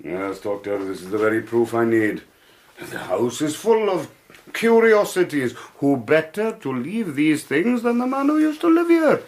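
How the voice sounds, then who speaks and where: A man's voice: a little high, lively intonation, a little slow. A man acting a smug, blustering British Victorian police inspector in a radio drama, pompous and full of hesitant hedging. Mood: flustered bluster.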